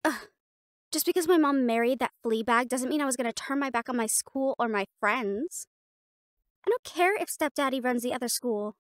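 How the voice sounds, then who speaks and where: A woman's voice: high-pitched, moderate pace, no echo, clean studio sound, natural voice. A woman playing a haughty catgirl cheer captain. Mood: annoyed. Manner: haughty, teasing, bossy. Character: snobby catgirl cheer captain, teenage, proud and catty. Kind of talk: audio roleplay acting.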